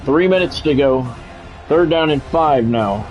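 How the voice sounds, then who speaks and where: A man's voice: a little high, measured pace, dull audio, soft background music. A middle-aged or older man giving live play-by-play of a football video game in short, clipped announcer phrases. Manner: announcer-like, short clipped phrases, excited calls on big plays.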